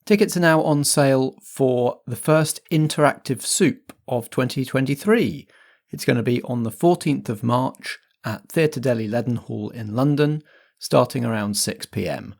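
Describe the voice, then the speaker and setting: Man, compere, mid-pitched, chatty, presenting announcements, informal. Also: normal speed, gravelly, darkish tone. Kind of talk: chatty scripted announcements to listeners.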